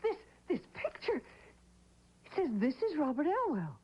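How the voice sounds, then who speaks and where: A woman's voice: sits high, wildly swooping pitch, unhurried, exaggerated voice. An actress playing an anxious widow questioning a man, her voice growing frantic, in an old-time radio drama. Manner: probing, increasingly frantic. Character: anxious grieving wife, shaken by a ghostly visit. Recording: dull lo-fi old radio recording.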